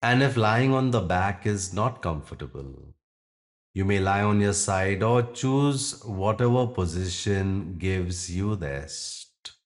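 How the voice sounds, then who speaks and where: A man teaching yoga nidra, guiding a meditation gently in short phrases with long pauses. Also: low-pitched, expressive. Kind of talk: guided meditation.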